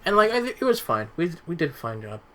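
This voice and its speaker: A man telling anecdotes in a casual podcast chat, in a rambling, halting way. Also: on the high side, sing-song, pushed and bright, a little hiss or hum. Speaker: podcast host.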